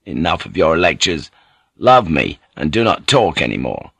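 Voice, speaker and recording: Man playing a low, blunt seafaring man. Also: sing-song, normal speed, creaky, fairly bright tone, husky, no room sound, studio quality, full character voice. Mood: amorous, teasing. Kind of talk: audiobook character voice.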